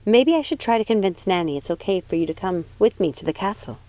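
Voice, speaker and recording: A woman's voice: medium pitch, expressive, fast, mellow, no echo, close to an everyday voice, poor audio. A woman voicing a worried, protective young witch in an audiobook, speaking earnestly and with care. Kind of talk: audiobook character voice.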